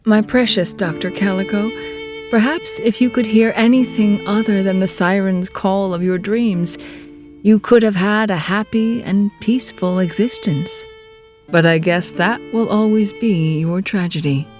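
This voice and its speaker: A woman's voice: mid-range voice, a little slow, darkish tone, faint music behind, natural voice. A woman playing a wry, theatrical storyteller, narrating a scripted audio drama in a confiding, grandiose manner. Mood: wry, dramatic.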